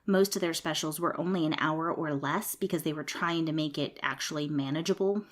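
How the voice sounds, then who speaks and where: A woman's voice: low-pitched, a little fast. A woman hosting a casual podcast and explaining things in a chatty, friendly way, her voice a bit snuffly from a cold. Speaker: podcast host. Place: a small home recording room.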